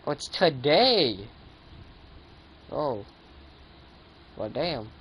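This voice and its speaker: A man's voice: mid-range voice, big pitch swings, drawn-out words, fairly bright tone. A man giving casual live commentary on a game, rambling and exclaiming as he talks to himself. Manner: rambling, self-talking, exclamatory. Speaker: game streamer. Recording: clear background noise.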